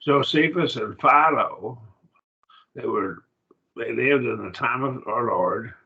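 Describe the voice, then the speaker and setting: A man teaching a college-level Bible study class in a plain, halting lecture with restarts and asides. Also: medium pitch, pushed and bright, highs cut off.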